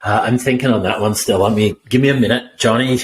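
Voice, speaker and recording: A man's voice: mid-range voice, fairly even intonation, fast talker, a little hiss or hum. A man co-hosting a podcast in a studio, giving brief, wry, self-deprecating replies. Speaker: podcast co-host. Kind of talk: casual podcast chat.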